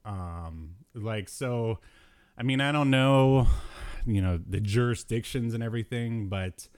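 A man hosting a podcast, chatting casually about retro cartoons in a hesitant, halting way. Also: medium pitch, a little mellow, clean tone. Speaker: podcast host.

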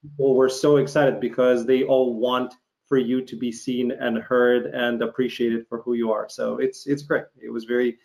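A man from Ukraine who learned English as a teenager, interviewing in a halting, reflective, conversational way. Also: medium pitch, flat delivery, creaky, darkish tone, poor audio. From Ukraine, former Soviet Union. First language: not English; learned it as a teenager. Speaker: interviewer, host.

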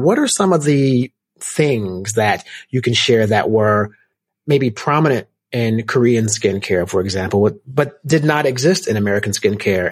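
Husky-voiced man hosting an interview. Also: fairly low pitch. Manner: affirming, reflective, draws out lessons. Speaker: podcast host.

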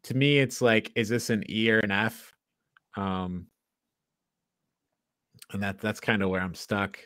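Low-voiced man reviewing films. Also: fairly even intonation, unhurried, a bit bright, no room sound, studio quality. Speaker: podcast host. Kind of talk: casual film review discussion.

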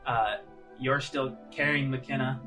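A man working as game master, narrating scenes in a casual, halting, conversational way. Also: mid-range voice, steady tone, rough recording. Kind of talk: tabletop roleplay narration. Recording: music under the voice.

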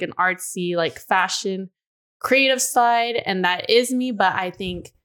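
A woman's voice: medium pitch, fairly bright tone. A woman in her twenties chatting candidly on a podcast, musing aloud with lots of "you know" and "like". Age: 20s. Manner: candid, confessional, drawn-out thoughts with frequent "you know". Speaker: podcast co-host. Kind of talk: casual podcast chat.

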